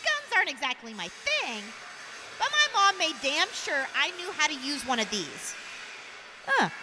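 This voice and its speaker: A woman as a very high, hesitant, sweet girl. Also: sing-song, a little slow. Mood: unsure, curious. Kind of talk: audio drama acting. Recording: noisy background mix.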